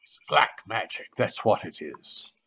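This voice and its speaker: A man playing a proud, haunted retired colonel in a radio mystery, formal and excitable, heard over a video call. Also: on the low side, measured pace, creaky, breathy edge, full character voice. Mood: haunted, frantic. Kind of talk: 1940s radio mystery acting. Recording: dull, lo-fi video call audio.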